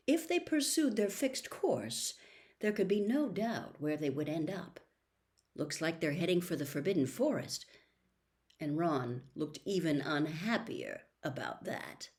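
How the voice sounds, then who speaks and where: Low-voiced, very animated woman storyteller. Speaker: audiobook reader. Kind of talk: expressive scripted story narration.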